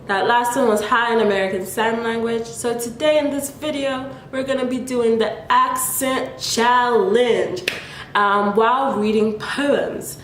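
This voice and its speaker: A woman's voice: on the high side, fairly even intonation, unhurried, a bit bright, a little hiss or hum. A young woman from Nigeria chatting playfully to her viewers in a casual vlog, with laughs between remarks. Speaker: vlogger. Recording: echoey room.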